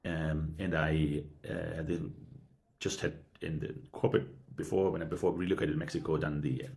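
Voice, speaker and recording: A middle-aged man speaking earnestly as a podcast guest, halting with frequent restarts and a habitual "yeah". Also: extremely low pitch, level tone, gravelly, darkish tone. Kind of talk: reflective conversational interview.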